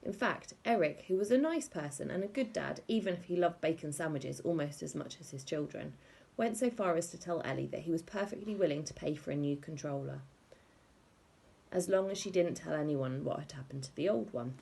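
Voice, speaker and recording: Woman reading kids book out loud, expressive, soft husky voice with some vocal fry. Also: low-pitched, fairly quick. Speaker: audiobook reader. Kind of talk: expressive children's story narration.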